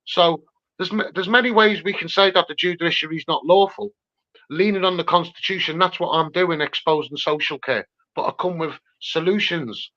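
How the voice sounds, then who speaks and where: Scouse man, campaigner, fairly high sharp voice, insistent, rhetorical, impassioned protest talk, lo-fi sound. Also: moderate pace. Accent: Scouse (Liverpool). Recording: slightly dull, lo-fi sound.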